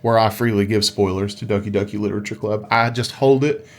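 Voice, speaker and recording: A man's voice: lowish voice, brisk. A man co-hosting a gaming podcast, chatting casually and jokingly, putting some playful drama on anything he reads out. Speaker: podcast co-host. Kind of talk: casual conversational podcast chat about gaming.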